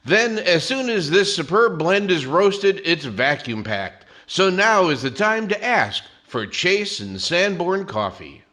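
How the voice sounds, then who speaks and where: Male announcer, 1940s radio commercial style, forceful bright tone with very lively pitch. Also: slow, hardly any pauses, slight background noise. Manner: polished sales pitch.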